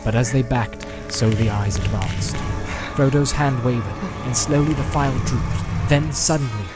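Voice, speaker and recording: Loud music surrounds a man's medium-pitched voice as he narrates a storybook. His delivery is solemn and measured, with dramatic weight given to the prose. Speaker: audiobook narrator. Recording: loud background music and sound effects. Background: loud cinematic music.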